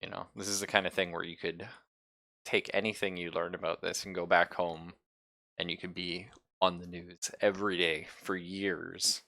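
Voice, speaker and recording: Deep, bright-voiced man running a game. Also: flat delivery, normal speed, gravelly, no echo. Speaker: game master. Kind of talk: casual narration of a tabletop roleplaying game.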